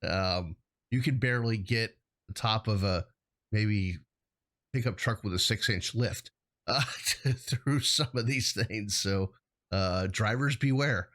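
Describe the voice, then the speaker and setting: A man's voice: on the low side, takes it slowly, a bit bright. A man co-hosting a casual shop-talk discussion, speaking in an informal, plain-spoken way. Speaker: hazmat consultant and show host. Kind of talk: casual chat, professional topic.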